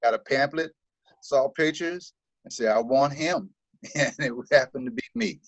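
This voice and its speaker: A man's voice: medium pitch, unhurried, no echo. A middle-aged man born in Korea and raised in the US Midwest, answering interview questions in an easygoing way. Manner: easygoing, laughs often. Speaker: guest. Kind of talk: casual interview conversation. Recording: dull, muffled recording.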